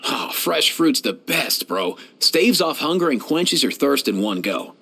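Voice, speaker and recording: Easygoing young male game vet, kind and slangy, lightish pitch, very animated. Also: unhurried, gravelly, breathy edge, heavily acted. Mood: casual. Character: laid-back, kindly young male veterinarian. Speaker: video game character voice actor. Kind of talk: game voice lines, conversational.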